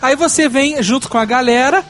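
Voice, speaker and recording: A man's voice: very high voice, moderate pace, a bit bright. A man giving joking, blunt, slangy advice in casual podcast chat. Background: faint music.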